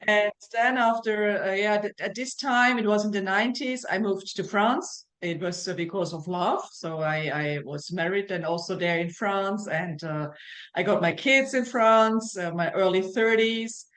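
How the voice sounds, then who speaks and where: A woman's voice: mid-range voice, a little slow, fairly bright tone. A middle-aged woman from Germany with a German accent, a naturopath guest speaking in a casual interview with frequent fillers and restarts. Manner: hesitant, many fillers and restarts.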